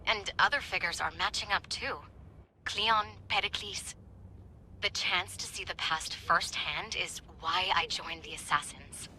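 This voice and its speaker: An actress playing an enthusiastic young history researcher in a video game, eager and gushing. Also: female voice, fairly low pitch, a little slow, pushed and bright, poor audio. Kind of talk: video game cutscene acting.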